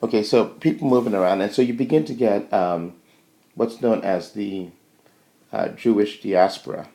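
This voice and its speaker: Low, gravelly man giving a lecture. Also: mellow. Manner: halting, thinking aloud, checks in with "okay" and "right". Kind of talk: informal explanatory history lecture.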